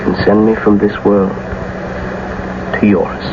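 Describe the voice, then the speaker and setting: Heartbroken young lover in old radio play, slow, lowish voice, desperate. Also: male voice, completely flat delivery. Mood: tender despair. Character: despairing young lover, gentle but desperate. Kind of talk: classical radio drama acting. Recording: dull, noisy old radio broadcast.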